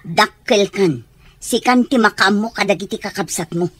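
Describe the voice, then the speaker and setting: A woman playing a resentful, defensive mother in a radio drama, with a curt, scolding manner and husky voice. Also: mid-range voice, moderate pace, dry recording, lightly acted. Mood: irritated, scolding. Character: irritable, resentful mother who refuses her abandoned family.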